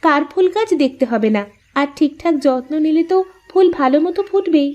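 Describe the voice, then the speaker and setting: A woman's voice: high voice, animated, a little fast, a little mellow. A woman voicing a pouty, dutiful young village wife in a cartoon. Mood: teasing, pouty. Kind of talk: cartoon voice acting.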